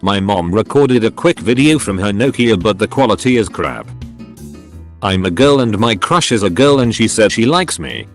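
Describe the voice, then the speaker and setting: A man's voice: on the low side, animated, normal speed. A man narrating short online stories in a scripted, recited read-aloud style. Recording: music behind the voice. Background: background music.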